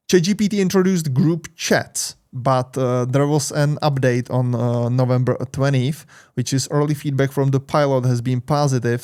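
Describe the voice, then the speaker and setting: Man, Czech accent, young adult, tech news host, hesitant with fillers, gravelly, smooth. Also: medium pitch, darkish tone. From Czech Republic. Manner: hesitant, many fillers and restarts. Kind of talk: casual news commentary.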